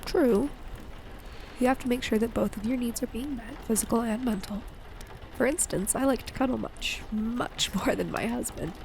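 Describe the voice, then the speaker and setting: A woman playing an affectionate, playful wife and mother in an intimate audio roleplay, speaking in a gentle, teasing way. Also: on the high side, normal speed, vocal fry, slight background noise, low-quality recording. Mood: warm advising.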